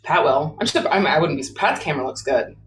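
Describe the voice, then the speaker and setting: A woman's voice: deep voice, expressive, brisk, slight background noise. A woman with an American accent hosting live talk radio in a casual, bantering style. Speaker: radio show host.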